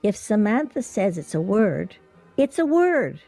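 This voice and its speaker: A woman's voice: mid-range voice, sing-song, measured pace, mellow, faint music behind. A woman acting in a radio play as an airy, loyal, easily distracted friend at a game, breezy and innocent-sounding. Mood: loyal, firm.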